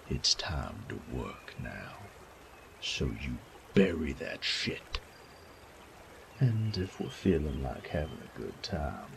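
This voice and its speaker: Man playing a very deep, gravelly bandit. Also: background noise, full character voice. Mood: commanding, seductive. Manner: slow, taunting drawl with folksy, profane phrasing. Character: sadistic male bandit, cocky, teasing, menacing rogue. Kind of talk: intimate roleplay audio drama.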